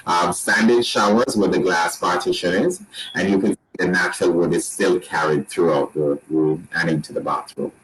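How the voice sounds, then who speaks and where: A man with a Jamaican accent, walking listeners through resort rooms and restaurants in a relaxed sales pitch with frequent restarts. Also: moderate pace, heavy background noise. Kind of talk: presenting a slideshow tour.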